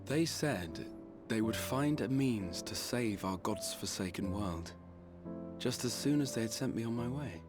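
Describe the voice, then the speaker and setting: An actor voicing an earnest, noble, weary fantasy game hero who speaks formally and eloquently. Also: male voice, fairly low pitch, lively intonation, over music. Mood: wistful, hopeful. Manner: formal, eloquent, measured storytelling. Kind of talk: dramatic video game voice acting.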